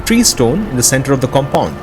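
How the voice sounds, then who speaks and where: A man's voice: medium pitch, very animated, fast talker. A man hosting gameplay commentary on game mods in an enthusiastic, appreciative way. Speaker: host of a game modding news show. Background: prominent game music.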